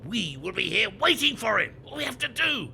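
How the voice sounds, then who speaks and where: An actor playing a scheming, venomous British officer in a radio play, sneering and emphatic. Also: male voice, very high voice, sing-song, a little fast, creaky, sharp tone, slight background noise, heavily acted.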